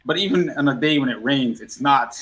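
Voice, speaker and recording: A middle-aged man from Guyana, a hip hop artist talking as a podcast guest, laid-back and halting, often repeating himself. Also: medium pitch, lively intonation, crowd noise, poor audio. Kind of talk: casual interview chat. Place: podcast studio.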